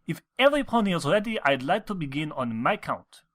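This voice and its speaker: Man playing a high-voiced, formal pony conductor. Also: sing-song, a bit bright. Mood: businesslike. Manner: formal, measured, authoritative. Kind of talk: audiobook character acting.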